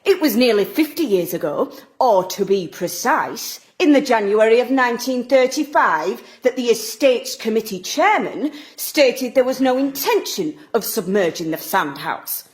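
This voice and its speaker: A woman's voice: on the high side, animated, a little slow, few pauses. A woman playing a passionate, combative middle-aged local campaigner in an audio drama. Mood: insistent, passionate. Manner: combative, sarcastic, emphatic. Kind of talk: stage-like audio drama acting.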